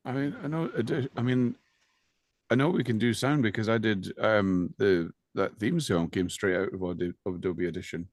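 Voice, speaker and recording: Lowish, dry-sounding man, informal and hesitant explainer on an online call. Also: normal speed, dry recording. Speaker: co-host. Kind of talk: casual explanatory chat. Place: indoors on a video call.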